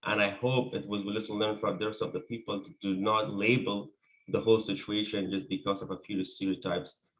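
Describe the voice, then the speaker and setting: A man's voice: fairly low pitch, level tone, brisk, a bit bright, rough recording. A man from Afghanistan, a native Persian speaker with an Afghan accent, giving an earnest personal account as an interview guest.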